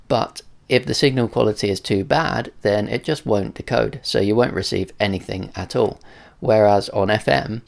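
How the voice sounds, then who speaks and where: A man with a fairly low, gravelly voice presents a technical explainer. His delivery is measured and matter-of-fact, laying things out step by step like a tutorial. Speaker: presenter of a radio tech video.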